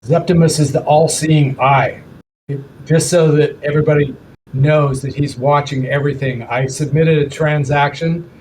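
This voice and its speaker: A man facilitating a casual online meeting, talking haltingly as he thinks aloud. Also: fairly high pitch, steady tone, darkish tone, poor audio. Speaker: working group meeting facilitator. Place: online group call. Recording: online call with clear background noise.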